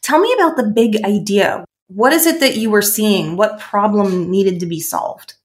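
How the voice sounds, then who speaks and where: A woman's voice: mid-range voice, expressive, moderate pace. A middle-aged woman hosting a podcast interview, enthusiastic and effusive about her guest. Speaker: podcast host. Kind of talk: interview conversation.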